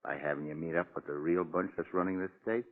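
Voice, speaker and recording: Cocky low-voiced gangster killer, tinny radio. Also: male voice, fairly even intonation, fast talker. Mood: boastful. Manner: cocky tough-guy slang. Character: boastful, menacing convicted killer gangster. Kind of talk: 1940s radio crime drama acting. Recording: muffled tinny old radio recording.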